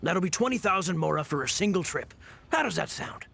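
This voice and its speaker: An actor playing a greedy young odd-job hustler in a game, cheeky and haggling. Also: male voice, sits high, expressive, brisk, gravelly, sharp tone, slight background noise, full character voice. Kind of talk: game voice acting. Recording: game audio with music behind.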